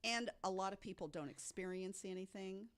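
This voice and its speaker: A woman telling ghost stories in a casual, chatty way as a podcast guest, quick to laugh. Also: mid-range voice, fairly bright tone. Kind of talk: casual storytelling chat.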